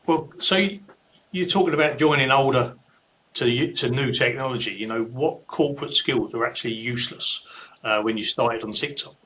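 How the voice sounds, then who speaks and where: A man's voice: medium pitch, moderate pace, sharp tone, a little hiss or hum. A man in his fifties hosting an interview, asking loosely phrased, rambling questions. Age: 50s. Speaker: podcast host. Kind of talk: casual interview conversation.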